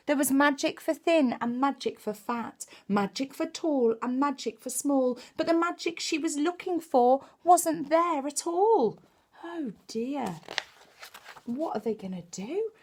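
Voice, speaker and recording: A woman reading a picture book to children like a primary teacher, expressive and exclaiming, with chatty asides. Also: high voice. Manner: expressive, exclaiming, with gasps and hushed dramatic moments.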